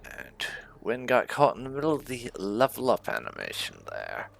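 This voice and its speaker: A man's voice: mid-range voice, wildly swooping pitch, normal speed, gravelly, a bit bright, slight background noise. A man giving casual commentary as host of a game playthrough. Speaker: gaming video host.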